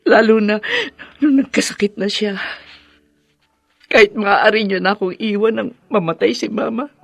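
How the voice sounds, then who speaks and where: A woman acting a tender, devoted widowed mother in a radio drama, with a halting, emotional delivery. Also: medium pitch, fairly even intonation, creaky, dry recording. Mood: tearful, regretful.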